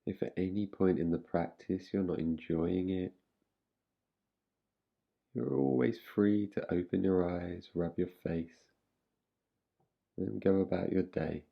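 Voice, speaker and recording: A man guiding a relaxation meditation in an unhurried, soothing way, giving gentle instructions with long pauses. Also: sits low, gravelly, lo-fi sound. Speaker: meditation guide. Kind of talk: guided relaxation meditation, yoga nidra.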